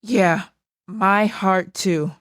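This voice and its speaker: A woman's voice: mid-range voice, very slow, fairly bright tone, no echo, clean studio sound. A woman playing an earnest, resolute banned book in a theatrical puppet show, speaking matter-of-factly.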